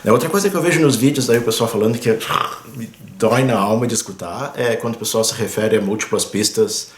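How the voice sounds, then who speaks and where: A man, a pilot who hosts aviation videos, explaining things in a casual, chatty way from home, often tagging sentences with quick confirming fillers and pointing things out on a screen. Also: fairly low pitch, reverberant. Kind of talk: casual explanatory vlog talk. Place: at home, indoors.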